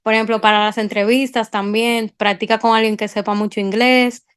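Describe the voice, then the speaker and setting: A woman's voice: a little high, level tone, fairly quick, clean tone. A young woman with a Dominican accent, an incoming medical resident, explaining step by step in a casual panel chat. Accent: Dominican Spanish. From Dominican Republic. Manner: explanatory, step-by-step, many fillers.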